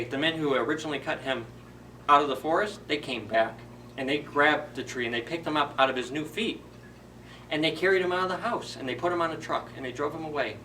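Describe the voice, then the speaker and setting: Male children's storyteller, fairly high bright voice, conversational retelling, slightly distant recording with faint noise. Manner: simple repeated phrasing with questions to children. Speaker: library storyteller.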